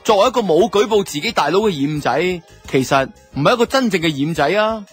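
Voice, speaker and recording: A man's voice: high-pitched, lively intonation, quick pace, slightly rough, faint music behind. A man with a Hong Kong Cantonese accent playing a guilty, self-justifying two-timing boyfriend who narrates a radio drama in colloquial first person. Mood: guilty, confessional. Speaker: lead actor and narrator.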